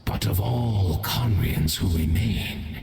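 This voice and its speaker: Slow, echoing monstrous villain. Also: male voice, low voice, creaky, darkish tone, a little hiss or hum, heavily acted. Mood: calculating. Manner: solemn, declamatory, formal. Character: grandiose, scheming monstrous herald villain serving a royal master. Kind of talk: theatrical video game villain acting. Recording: long echoing reverb over background music.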